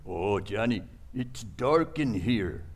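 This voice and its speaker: A man playing a nervous, placating crooked doctor sidekick in an old-time radio comedy, soothing in simple, halting phrases. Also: lowish voice, animated, a little hiss or hum.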